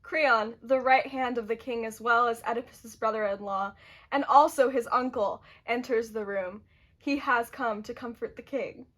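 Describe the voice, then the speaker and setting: A woman's voice: fairly high pitch, normal speed, a bit bright. A woman narrator reading scripted dramatic storytelling for a puppet show.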